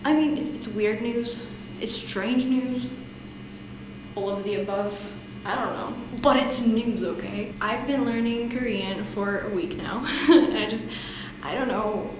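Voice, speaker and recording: A woman's voice: a little high, measured pace. A young woman whose first language is Hungarian, chatting casually to camera in a self-deprecating, rambling way with lots of laughter, recorded in a small bathroom. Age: young adult. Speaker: language-learning vlogger. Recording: echoey bathroom with noisy background.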